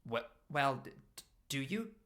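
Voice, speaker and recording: A man voicing a nervous, nerdy, soft-hearted young man who speaks hesitantly and stammers in a story reading. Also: mid-range voice, very animated, unhurried, voice pushed up. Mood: awkward.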